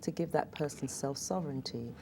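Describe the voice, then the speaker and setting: A woman's voice: deep voice, fairly quick, gravelly, dark tone, slight background noise. A woman with an English accent, hosting a casual interview, affirming and conversational. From England. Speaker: host.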